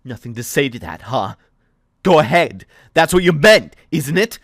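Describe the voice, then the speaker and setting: A sharp-tongued young woman with a raised voice, mocking and confrontational, as a man puts her on. She talks in a bright, lifted register, and the sound is dry and close. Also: on the high side, normal speed, no room sound, pitched above the performer's own voice, lightly acted. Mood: bitter sarcasm. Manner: mocking, confrontational, biting sarcasm. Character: bitter, sarcastic young woman, quick to anger.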